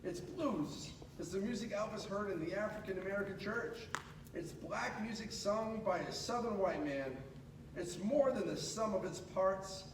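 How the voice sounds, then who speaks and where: A man reading a children's picture book aloud in an animated, dramatic way, pausing and stretching words for emphasis. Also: high voice. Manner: dramatic, with pauses and drawn-out emphasis. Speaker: radio host reading a storybook aloud. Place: echoey room at a school. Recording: echoey room, distant mic, clear background noise.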